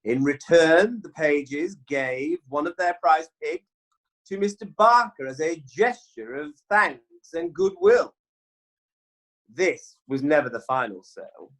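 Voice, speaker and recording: A man playing a genteel medieval lawyer who pleads a case in a measured, deliberate way. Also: a little high, lively intonation, rough recording. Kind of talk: amateur radio drama.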